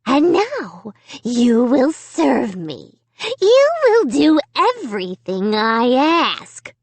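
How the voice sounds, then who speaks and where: A cruel male ventriloquist dummy hisses threats in a harsh, cold, whispery rasp, put on by a woman reading an audiobook. He stretches each word slowly, rising and falling in lively menace. The sound is close and dry. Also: high voice, dry recording, voice pushed up, exaggerated voice. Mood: menacing. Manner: slow, drawn-out menacing.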